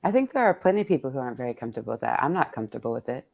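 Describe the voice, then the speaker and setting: Very low-voiced woman, casual and thoughtful. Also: big pitch swings, rapid-fire, gravelly, darkish tone. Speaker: poet hosting an event with her readers. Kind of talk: casual reflective conversation.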